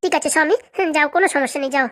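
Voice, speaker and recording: A woman's voice: sits high, racing, natural voice. A woman voicing a meek, plaintive young housewife in a melodramatic comedy cartoon. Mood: meek, unwell. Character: meek, dizzy pregnant young housewife, wailing when hurt.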